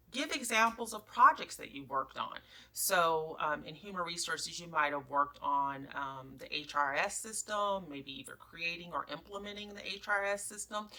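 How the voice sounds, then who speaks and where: Low-pitched woman giving emphatic career advice. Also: sharp tone. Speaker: human resources career coach, presenter. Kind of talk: instructional advice talk, numbered tips.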